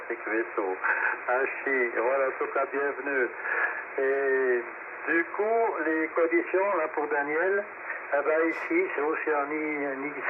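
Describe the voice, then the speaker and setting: A man, an amateur radio operator, chatting casually over the air and peppering his talk with tag words. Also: mid-range voice. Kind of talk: casual radio contact chat. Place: radio transmission with static behind. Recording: very noisy narrowband shortwave radio link.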